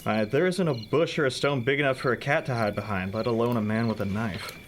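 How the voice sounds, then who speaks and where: A man's voice: mid-range voice, quick pace, a little hiss or hum, natural voice. Man acting brusque young trooper, radio play. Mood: matter-of-fact. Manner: brusque, reassuring. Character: protective, dismissive male state trooper and sweetheart. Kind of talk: scripted radio drama acting.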